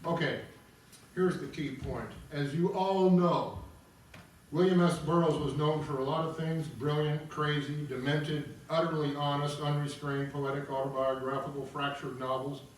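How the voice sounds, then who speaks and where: Narrator for opera table read: man, higher-pitched voice, heavily echoing room, music. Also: fairly even intonation, normal speed, slight background noise. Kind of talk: reading stage directions and explaining to audience. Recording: very echoey room, distant mic, music.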